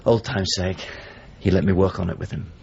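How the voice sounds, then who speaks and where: A middle-aged painter in a radio drama, searching after an old friend. His low voice is husky, and he questions in a measured, hesitant way. The sound is slightly muffled. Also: fairly even intonation, a little mellow, heavily acted. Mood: reflective. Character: Italian painter, probing visitor seeking an old friend. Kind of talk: naturalistic radio drama acting.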